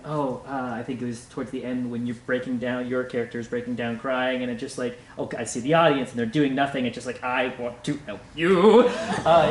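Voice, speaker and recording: A man with a middle-pitched voice rambles through his thoughts, restarting often as he looks for the right words. The room is echoey, with a faint hum of ambience. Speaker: audience member giving feedback. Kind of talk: casual discussion.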